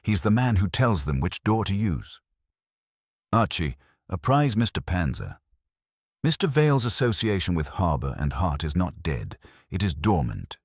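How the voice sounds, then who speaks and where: Guy doing a pompous brainy detective man, super low voice, lively pitch, precise and formal, audiobook. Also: measured pace, breathy edge, no echo, clean studio sound, full character voice. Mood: assessing. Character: imperious, pedantic male genius detective.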